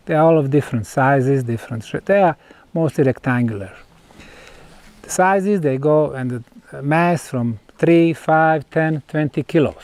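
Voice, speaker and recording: High-pitched Bosnian-accented man presenting. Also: a little mellow, no echo. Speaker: researcher presenting measurements on site. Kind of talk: explanatory on-site presentation.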